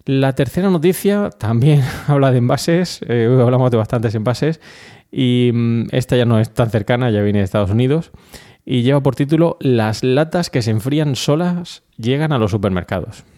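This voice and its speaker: A man's voice: mid-range voice, normal speed, dark tone. A man with an accent from Spain, hosting a podcast and talking through news items in an informal, explanatory, conversational way. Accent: Spanish from Spain. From Murcia, Spain. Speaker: podcast host.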